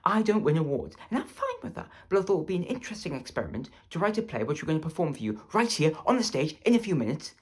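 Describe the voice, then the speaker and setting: Guy doing self-important grumbling playwright, raised pitch, earnest comic stage speech. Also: sing-song, quick pace, hardly any pauses, breathy edge. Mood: aggrieved. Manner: pompous, earnest. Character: self-important, aggrieved male amateur playwright addressing audience.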